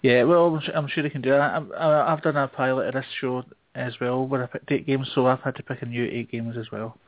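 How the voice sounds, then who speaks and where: A man's voice: mid-range voice, steady tone, fast talker, no echo. A man chatting casually on a gaming podcast in a hesitant, rambling way, over a thin phone-like connection. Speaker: show host taking a turn as guest. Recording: thin phone-like internet radio sound.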